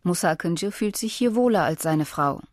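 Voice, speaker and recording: A woman reading scripted documentary narration in a measured, even way. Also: on the low side, dry recording, studio quality.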